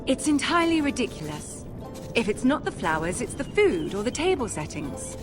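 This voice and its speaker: A woman's voice: on the high side, sing-song, normal speed. Young court noblewoman, poised, shrewd, arch; fantasy game, light music bed. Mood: breezy, exasperated. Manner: refined, arch. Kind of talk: dramatic video game acting.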